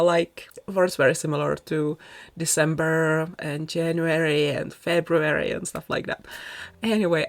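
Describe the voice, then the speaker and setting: Low-pitched woman chatting casually. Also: vocal fry. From Czech Republic. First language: Czech. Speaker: video creator narrating a game build. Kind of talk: casual rambling voice-over chat.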